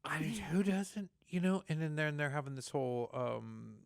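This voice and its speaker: A man's voice: a little high, animated, moderate pace, vocal fry, no room sound. A man with a Texan accent hosting a community radio talk show, chatting casually in the studio with many restarts and fillers.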